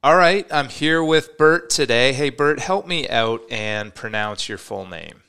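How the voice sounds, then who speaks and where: A man's voice: medium pitch, lively intonation, a little slow, creaky, pushed and bright, clear, resonant tone. A man hosting a podcast, opening the episode with enthusiasm and then asking questions in an upbeat, slightly rambling way. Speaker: podcast host. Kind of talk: podcast intro and interview.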